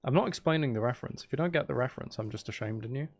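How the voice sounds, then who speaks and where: A man with a mid-pitched voice and noticeable vocal fry chats casually as he plays a game on stream. He rambles and teases playfully, with a slightly tired edge to his delivery. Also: lively intonation, very fast. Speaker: livestream host. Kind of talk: casual gaming livestream chat.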